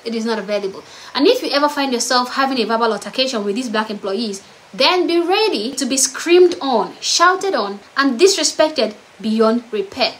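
A woman's voice: a little high, fairly bright tone. A young woman giving an emphatic, preachy opinion commentary to camera from her bedroom, often tagging her points with "okay?", on a lapel mic. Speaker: vlogger. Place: bedroom indoors.

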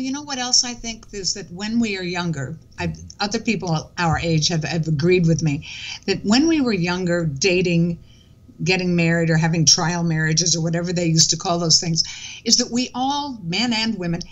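A woman in her seventies speaks as an interview guest. Her voice sits a little low, and she talks in a casual, reflective way. The sound is slightly muffled, lo-fi video call audio from her home. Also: moderate pace. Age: 70s. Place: living room at home.

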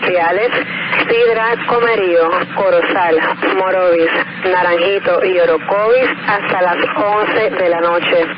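A man's voice: high-pitched, steady tone, slow pace. A man reading a scripted emergency weather bulletin as an announcer over the radio. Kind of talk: scripted bilingual alert reading. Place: radio broadcast. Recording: noisy, muffled weather radio broadcast.

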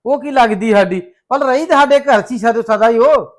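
A high-pitched, rapid voice drives a man acting an angry young son. He talks fast and heatedly about leaving the quarrelling house. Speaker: son home from abroad. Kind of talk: family drama acting.

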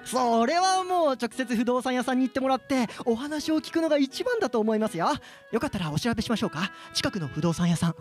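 A man playing a polite, cheeky real estate agent who jokes deadpan in a light comic radio drama. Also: very high-pitched, fast talker, few pauses, faint music behind.

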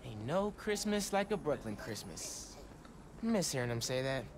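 An actor playing an easygoing teenage boy hero in a video game, with relaxed, casual city-kid talk. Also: mid-range voice, normal speed, natural voice. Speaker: game character.

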